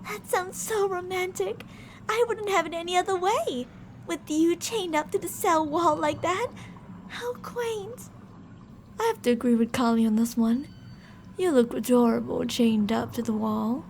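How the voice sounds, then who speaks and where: A woman's voice: very high voice, unhurried, slight background noise. A woman playing teasing, possessive female mad scientists in an ASMR roleplay, coaxing and domineering with sweet menace. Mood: playful, taunting.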